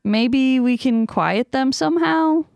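A woman voicing a calm female talking clock who speaks slowly and soothingly. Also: sits high, creaky, no room sound, exaggerated voice.

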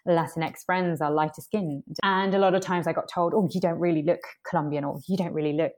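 A woman's voice: on the low side, fairly even intonation, brisk, darkish tone. A woman with a well-spoken British accent, talking reflectively and emphatically in an articulate, conversational discussion. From United Kingdom.

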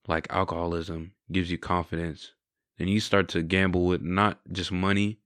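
A man's voice: low voice, a bit bright, no echo, studio quality. A man in his twenties sharing his testimony in a candid, reflective, conversational way. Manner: candid, reflective, often adds "right?" and "you know". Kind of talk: casual conversational storytelling.

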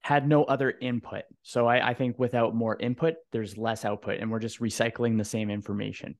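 A man's voice: mid-range voice, flat delivery, fairly quick, dry recording. Man interviewing, dry close sound. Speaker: podcast interviewer, host. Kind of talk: conversational interview.

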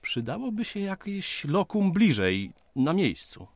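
An actor playing a polite, eager 19th-century surveyor, speaking formally and courteously in a radio drama. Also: male voice, high-pitched, sing-song, unhurried, no echo, full character voice. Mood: keen, courteous.

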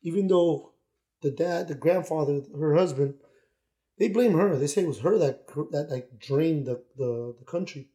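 A man's voice: a little high, moderate pace, warm tone. A man co-hosting a podcast, talking in a rambling, casual way with frequent restarts. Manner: rambling, with many restarts and put-on quoted voices. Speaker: podcast co-host. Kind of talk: casual chat. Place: Miami.

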